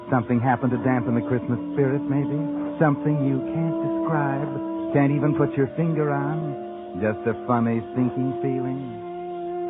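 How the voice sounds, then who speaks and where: An actor playing a sardonic, taunting narrator of an old radio mystery, insinuating and needling. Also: male voice, medium pitch, lightly acted. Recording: tinny vintage radio, loud music behind.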